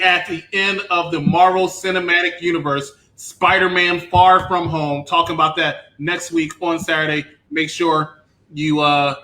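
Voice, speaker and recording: A man hosts a movie review show in a casual, animated style. His voice sits high for a man and cuts through brightly, with clear echo from the room around him. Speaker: host of a film review show. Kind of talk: animated casual panel hosting. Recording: echoey room.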